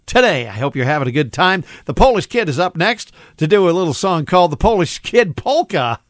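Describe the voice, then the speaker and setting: A man's voice: on the high side, big pitch swings, fairly quick, no room sound, clean studio sound. A middle-aged man hosting an old-time music radio show, talking in a chatty, rambling, upbeat way with lots of fillers. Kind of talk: casual radio DJ chat.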